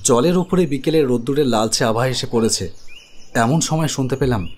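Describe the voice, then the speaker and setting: A man playing an earnest, uneasy protagonist who narrates his own story in an animated horror drama. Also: mid-range voice, brisk, lightly acted. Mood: reflective storytelling. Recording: music under the voice. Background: background music.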